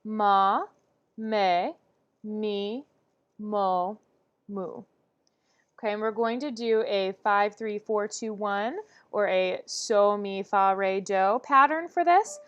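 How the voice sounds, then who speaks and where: A woman voice teacher leading a vocal warm-up lesson, talking with many "um"s and demonstrating exercises. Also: medium pitch, slow, clean tone, muffled recording.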